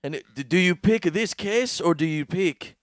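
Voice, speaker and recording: Young male co-host, animated pitch, quick laughs, dry close mic. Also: no echo. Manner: lively, laughing often, game-show voice bits. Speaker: podcast co-host. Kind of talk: crude comedic podcast banter. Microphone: studio podcast microphone.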